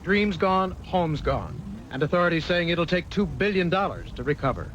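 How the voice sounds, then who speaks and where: Male news correspondent, high animated delivery, dull archived broadcast sound. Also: normal speed. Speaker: television news reporter. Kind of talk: scripted broadcast news narration.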